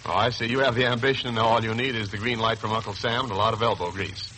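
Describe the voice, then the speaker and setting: American man, radio announcer, forceful bright tone, formal polished script reading, 1940s broadcast, faint hiss. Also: lowish voice, steady tone, quick pace, low-quality recording. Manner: emphatic, formal, polished delivery. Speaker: radio announcer and sponsor spokesman.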